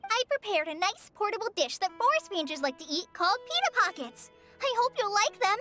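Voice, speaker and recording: A young forest ranger girl from an anime game, with a very high, youthful voice. The girl speaks earnestly and politely, a little hesitant and quick to apologize. Soft music sits behind. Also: sing-song, heavily acted. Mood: welcoming. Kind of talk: anime-style video game voice acting.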